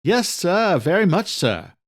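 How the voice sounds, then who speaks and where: An eager young man in an audio thriller, acted out by a male narrator, pitched high and a bit breathless. He is anxious and very polite, pushing keenly to please. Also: sing-song, takes it slowly, exaggerated voice. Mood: grateful.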